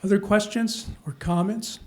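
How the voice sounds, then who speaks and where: Male panel moderator, measured and questioning, fairly high voice. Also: fairly even intonation. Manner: measured questioning, checks in with "okay?".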